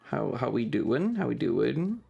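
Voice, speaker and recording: A man's voice: medium pitch, very animated, a little slow, creaky, dark tone, husky, lo-fi sound, soft background music. A man hosting a casual livestream, chatting with viewers in a playful, rambling, slightly distracted way. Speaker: live streamer and host.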